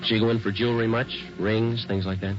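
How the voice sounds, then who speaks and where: An actor playing a terse, deadpan American police detective who narrates his case in clipped sentences in a 1940s radio drama. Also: on the low side, fairly quick, lightly acted. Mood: businesslike. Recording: muffled old radio recording, loud music.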